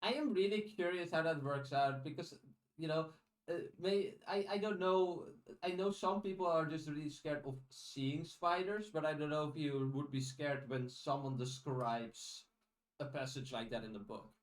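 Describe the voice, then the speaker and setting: A man's voice: on the high side, moderate pace. A man co-hosting a podcast in casual, rambling discussion, often paraphrasing in dialogue. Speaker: podcast co-host. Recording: roomy, echoey sound.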